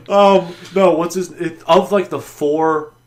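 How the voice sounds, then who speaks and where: A man's voice: sits high, sharp tone. A man co-hosting a casual gaming podcast, rambling and correcting himself, swearing freely. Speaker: podcast co-host.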